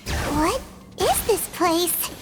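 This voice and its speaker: An actress voicing a small, childlike, excitable companion in a video game, squeaky, with anxious, wide-eyed exclamations and questions. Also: female voice, very slow, exaggerated voice. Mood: puzzled. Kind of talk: dramatic video game voice acting. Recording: game audio with music behind. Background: game music.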